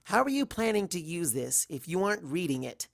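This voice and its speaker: A man's voice: high-pitched, sing-song, a little fast, creaky, pitched above the performer's own voice, full character voice. A man voicing an anxious, fussy, bookish pony with a questioning manner in a story reading. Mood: suspicious, stubborn.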